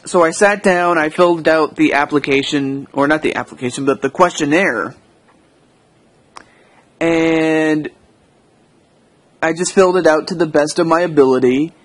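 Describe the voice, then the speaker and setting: A man's voice: on the high side, moderate pace, long pauses. An American man giving a casual, unscripted audio log, hesitant and rambling, often correcting himself as he goes. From United States.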